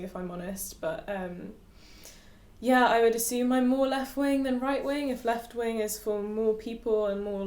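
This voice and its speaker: A woman's voice: mid-range voice, reverberant. A young woman in her twenties who grew up in Dubai, a yoga teacher chatting casually to camera, giggling often and using lots of fillers.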